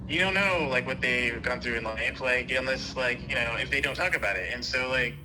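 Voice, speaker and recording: A man in a casual personal conversation, talking in a halting, rambling way and circling back on his own phrases. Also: medium pitch, background noise.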